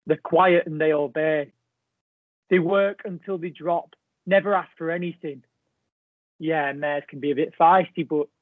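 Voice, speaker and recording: A man's voice: a little high, dry recording. An actor playing a bitter, crude old rural man, delivering a blunt, contemptuous, rambling stage monologue. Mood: wistful, menacing. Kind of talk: theatrical monologue in a play reading. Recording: thin phone-like band-limited audio.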